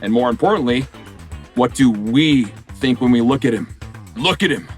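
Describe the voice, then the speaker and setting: An actor playing a smooth-talking politician who gives interviews and campaign speeches in a game. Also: male voice, mid-range voice, expressive, normal speed, faint music behind, lightly acted. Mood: rousing. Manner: rousing, oratorical. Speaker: election candidate. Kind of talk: game cutscene voice acting.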